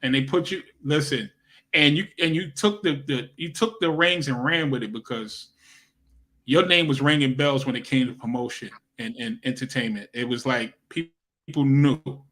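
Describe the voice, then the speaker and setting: A man's voice: mid-range voice, moderate pace, a bit bright. A man hosting a radio interview, chatting in a loose, slangy, friendly way. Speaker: radio show host. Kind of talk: casual interview chat.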